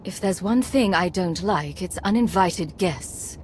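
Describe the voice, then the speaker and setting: Game casting: wry, self-possessed female commander, slightly low voice, faint ship ambience. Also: expressive, a little slow, a little mellow, a little hiss or hum. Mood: dry, skeptical. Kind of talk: video game cutscene acting.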